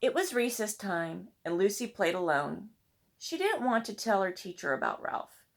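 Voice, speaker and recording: A woman reading a children's storybook aloud in expressive narration. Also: mid-range voice, normal speed, creaky. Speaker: storybook reader.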